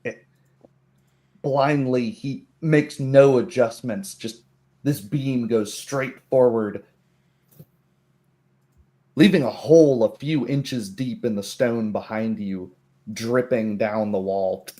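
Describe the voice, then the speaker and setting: A man narrating a tabletop roleplay game as game master, telling it in a measured, descriptive way. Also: mid-range voice, dark tone.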